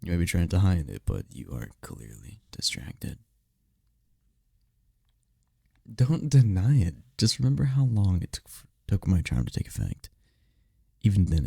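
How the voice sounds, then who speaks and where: Man acting a gravelly, low-voiced incubus lover. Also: dark tone, no echo, clean studio sound, heavily acted. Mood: concerned, pleading. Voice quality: low, gravelly, husky, breathy. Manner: slow, intimate, often dropping into whispers. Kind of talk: intimate ASMR boyfriend roleplay. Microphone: close-up microphone, dry sound.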